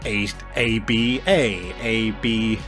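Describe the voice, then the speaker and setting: A man narrating a tabletop game as game master, conversational and halting, with deliberate pauses. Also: on the low side, fairly even intonation, a bit bright. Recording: music playing behind. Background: background music.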